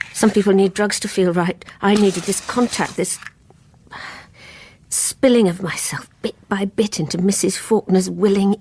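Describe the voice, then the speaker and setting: A woman's voice: mid-range voice, warm tone, breathy edge. An actress playing a lonely, dreamy, troubled married woman in a radio drama, with a British accent and a confessional, sighing manner. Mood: haunted, confessional.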